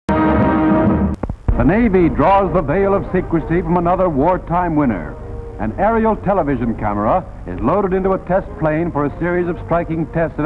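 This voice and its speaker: A man with an American accent narrating a 1940s newsreel in a dramatic, declamatory announcer style. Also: fairly high pitch. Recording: tinny vintage film soundtrack, music behind. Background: newsreel music.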